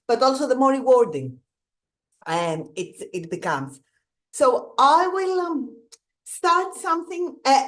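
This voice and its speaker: A middle-aged woman with a Greek accent, giving an earnest, reflective presentation over slides and often restarting her phrases. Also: a little high. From Greece. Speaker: guest speaker, museum director.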